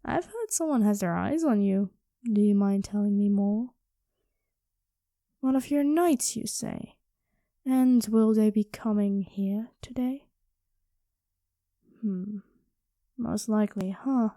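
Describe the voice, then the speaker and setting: A woman's voice: medium pitch, slow, pauses often, mellow, dry recording, clean studio sound. A woman improvising an ASMR roleplay as a playful, possessive dragon queen, coy and intimate, often dropping into whispers. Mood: curious, jealous.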